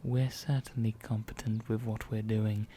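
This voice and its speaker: A man playing an ancient, condescending vampire in an ASMR roleplay, speaking softly and deliberately, often slipping into a whisper, with calm menace. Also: fairly low pitch, slight background noise, lightly acted. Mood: smug, condescending. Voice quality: soft, breathy, often dropping into whisper. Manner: slow, deliberate, pausing, formal.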